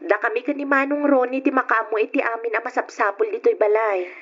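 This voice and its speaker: Woman acting an earnest, husky Ilocano woman. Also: a little high, fairly even intonation, fairly quick, lightly acted. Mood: concerned. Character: earnest Ilocano woman of a family radio drama. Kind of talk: old radio drama acting. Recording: dull lo-fi old radio recording.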